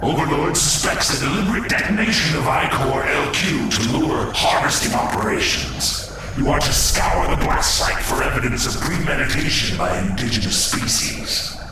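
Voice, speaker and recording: A man's voice: on the high side, expressive, moderate pace, background noise, close to an everyday voice. A man voicing an imperious, stubborn alien foreman who issues terse, formal orders in dramatic game acting. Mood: commanding. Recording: heavy echo, distant, loud music.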